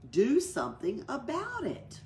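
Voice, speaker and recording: A woman's voice: sits high, huge pitch swings, measured pace, a little mellow, a little hiss or hum, voice pushed up, full character voice. A woman voicing a grumpy, demanding male gecko who complains insistently in a storybook reading. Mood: insistent complaining.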